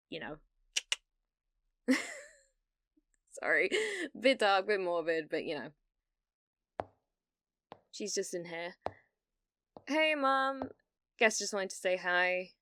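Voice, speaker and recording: A British woman playing a needy, delusional young gamer in an ASMR roleplay, hesitant and stammering, blithely casual about dark things. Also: lowish voice, dry recording, studio quality. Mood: wistful, darkening, then chirpy, unsettling.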